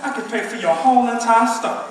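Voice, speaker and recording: A woman's voice: on the high side, brisk, lightly acted. A woman acting in a stage play as a brash, sneering street hustler who flaunts money. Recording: echoey distant stage, clear noise.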